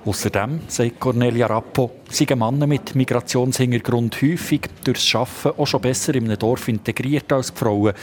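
A man's voice: medium pitch, normal speed, hardly any pauses, a little mellow, a little hiss or hum. A man with a Swiss German accent narrating a scripted radio report as a reporter.

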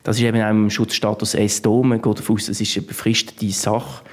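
A man giving halting, unscripted interview answers as a politician, often repeating words. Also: fairly low pitch, flat delivery.

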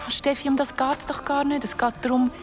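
A woman's voice: high voice, flat delivery, fairly quick, music in the background, close to an everyday voice. An actress playing a blunt, wistful young ghost woman in an audio drama, frank and conversational. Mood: bitter, intense. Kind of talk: naturalistic audio drama dialogue.

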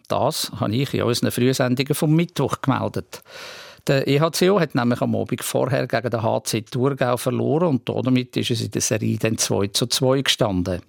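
Swiss german guy reading regional news on radio, bit of fry in the voice, very dry sound. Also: mid-range voice, dry recording.

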